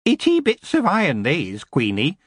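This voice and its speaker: A man's voice: a little high, wildly swooping pitch, moderate pace, dry recording, studio quality, voice pushed up. A man voicing a stocky, defiant male goblin who talks in broken grammar with a cocky, mocking manner. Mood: threatening.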